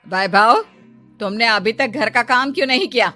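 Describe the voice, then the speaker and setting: A woman's voice: fairly high pitch, normal speed, pushed and bright, soft background music. A woman voicing a domineering, scheming rich stepmother in a Hindi cartoon story, with a commanding, curt manner. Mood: scolding.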